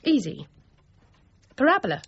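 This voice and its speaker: An actress playing a brisk, teasing but caring young niece in a period radio drama, forthright and gently goading. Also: female voice, fairly high pitch, huge pitch swings, breathy edge, highs cut off, full character voice. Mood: playful.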